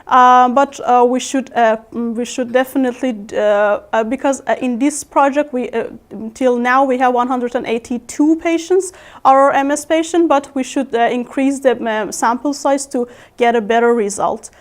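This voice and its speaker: A woman from Iran with an Iranian accent, presenting research as a postdoctoral researcher in a halting, hesitant way with frequent repetitions. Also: sits high, steady tone. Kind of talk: informal scientific poster presentation.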